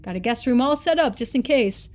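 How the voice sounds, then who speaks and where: A woman's voice: on the high side, animated, brisk, lightly acted. Woman reading as a loving, folksy lonely father. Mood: wistful. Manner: warmly earnest, folksy. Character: loving, lonely middle-aged father writing to his daughter.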